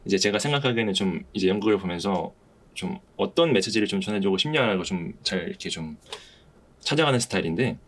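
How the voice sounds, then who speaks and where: A man with a fairly low voice hosts a podcast. He talks casually and earnestly, feeling his way through his thoughts with some hesitation. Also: expressive, quick pace, fairly bright tone, a little hiss or hum. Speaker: podcast host. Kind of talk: casual podcast discussion of a play.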